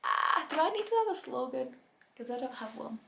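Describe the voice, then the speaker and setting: A woman's voice: high-pitched, lively intonation, brisk, gravelly, bright, forceful tone, reverberant. A woman in her twenties with a Bolton accent, chatting casually to camera in a vlog, full of ums and self-deprecating asides. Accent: Bolton, Greater Manchester. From England. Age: 20s. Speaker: YouTuber.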